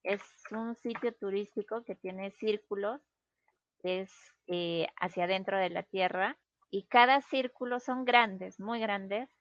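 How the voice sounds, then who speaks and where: A woman's voice: mid-range voice, dry recording, dull audio. A woman from Peru with a Peruvian Spanish accent, chatting casually and explaining things patiently. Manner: slow, patient, explains with pauses. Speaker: conversation partner. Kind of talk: casual conversation.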